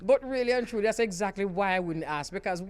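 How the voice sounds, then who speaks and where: Lively Jamaican woman radio host. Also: mid-range voice, fast talker. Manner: lively, laughs often, emphatic. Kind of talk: radio talk show chat.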